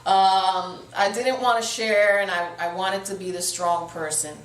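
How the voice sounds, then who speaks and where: Talk host, woman, Puerto Rican background, earnest and encouraging, mid pitch, mic set back in a roomy space. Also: steady tone, measured pace. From Puerto Rico. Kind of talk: candid personal talk show conversation. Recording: roomy sound from a distant mic.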